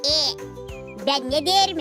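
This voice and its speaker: A performer voicing a cheeky, boastful child in a cartoon, in an animated, playful manner. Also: high-pitched, slow, soft background music, heavily acted. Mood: eager, questioning. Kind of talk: cartoon dialogue.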